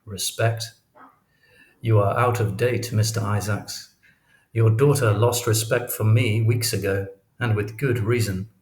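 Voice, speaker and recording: A man plays an educated professor in a novel, outwardly polite and guarded. His speech is measured and formal, fairly low, in a roomy recording. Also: fairly even intonation, darkish tone, lightly acted. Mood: bitter, frank. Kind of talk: audiobook character dialogue.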